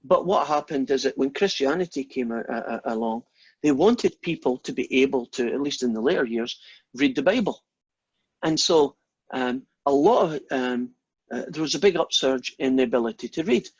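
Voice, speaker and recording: A Scottish man gives an informal maths lecture and wanders happily off topic into long, self-deprecating stories. His voice is husky and a little rough at the edges. The recording sounds muffled and dull, without any crisp highs. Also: lively intonation, moderate pace, dry recording. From Scotland. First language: Scots. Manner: rambling, anecdotal, self-deprecating.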